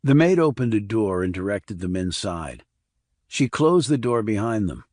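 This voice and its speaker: Man, low pitch, audiobook narrator, thriller, measured storytelling, dry sound. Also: very animated, a little mellow, no echo.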